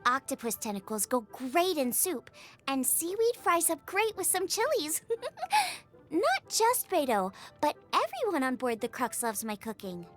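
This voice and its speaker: A woman voicing a bubbly, eager, food-obsessed young woman chef in an expressive video game style, chatty and full of exclamations. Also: sits high, unhurried, full character voice. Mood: chatty, admiring. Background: music.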